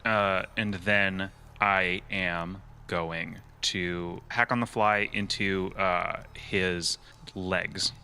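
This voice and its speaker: Low voiced guy talking thru his rpg moves, super crisp and bright, casual. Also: steady tone, drawn-out words. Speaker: player in a tabletop role-playing game. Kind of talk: casual improvised game talk.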